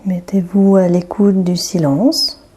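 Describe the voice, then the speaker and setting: A woman leads a guided relaxation in a very dark, mellow voice. She speaks softly and with plenty of breath, moving very slowly through calm, gentle instructions. Long pauses separate her unhurried phrases. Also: fairly low pitch, breathy edge. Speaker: yoga nidra guide.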